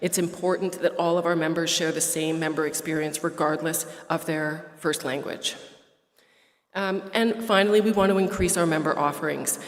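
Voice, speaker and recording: A woman from Quebec whose first language is French, giving a brisk report at an association's annual meeting, with some fillers and restarts. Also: low-pitched, steady tone. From Quebec, Canada. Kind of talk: prepared speech at a meeting. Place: meeting hall at an airport. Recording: roomy hall reverb.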